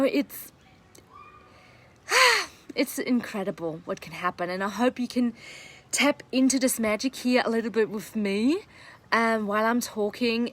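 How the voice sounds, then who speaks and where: A woman's voice: mid-range voice, fairly bright tone. A young woman, a native German speaker, talking in English as a podcast coach in an earnest, enthusiastic live monologue with many fillers.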